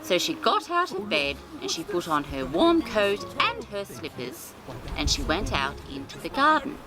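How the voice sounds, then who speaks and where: A woman's voice: mid-range voice, wildly swooping pitch, moderate pace, over music, poor audio. A woman hosting a storytime for small children, speaking in a doting, sing-song, theatrical way.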